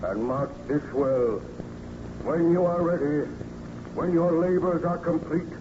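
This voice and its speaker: An actor playing a hideous outcast monster, pleading and menacing in a solemn, declamatory old radio horror style. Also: male voice, medium pitch. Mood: ominous. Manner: solemn, declamatory, drawn-out phrasing. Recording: noisy, muffled old radio recording.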